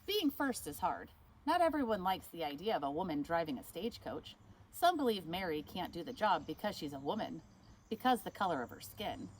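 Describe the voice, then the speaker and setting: Expressive woman reading a picture book aloud. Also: fairly low pitch, slight background noise, low-quality recording. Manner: expressive, pauses to ask the listener questions. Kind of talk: read-aloud storytime.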